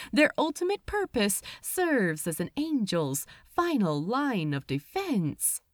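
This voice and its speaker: A woman reads fiction aloud in a mid-pitched voice that rises and falls expressively. She takes her time, placing deliberate pauses for dramatic weight. The sound is dry, with hardly any room on it. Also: dry recording. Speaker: audiobook reader of fan fiction. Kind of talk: expressive scripted story narration.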